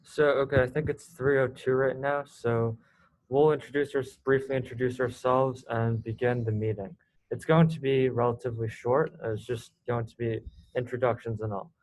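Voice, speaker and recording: A teenage boy from South Korea, a student organizer hosting a casual video call meeting, talking haltingly with lots of fillers. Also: medium pitch, little pitch movement, darkish tone, dry recording, rough recording. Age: teens.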